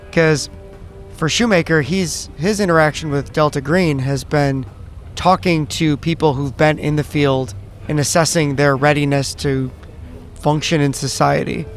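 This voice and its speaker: A man's voice: fairly high pitch, steady tone, a little slow, faint music behind. A man who is a player in a tabletop roleplaying game, describing what his character does.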